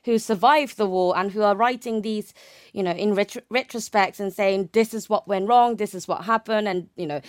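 A woman's voice: medium pitch, clear, resonant tone, no echo, studio quality. A young woman from Japan talking conversationally as an academic guest, explaining things with hedged enthusiasm. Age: young adult. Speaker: academic guest, naval history researcher.

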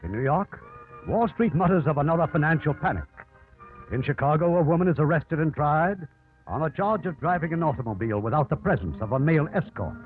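A man's voice: on the high side, expressive, brisk. A man hosting and narrating a 1950s radio drama in scripted style. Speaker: host and narrator. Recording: narrow old radio broadcast with music.

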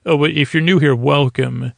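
Middle aged guy, creaky gravelly voice, pretty flat, rambles gently like a sleep podcast host, close dry sound. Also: on the high side, no echo. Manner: meandering, halting, self-interrupting, gentle. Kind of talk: rambling, soothing bedtime monologue.

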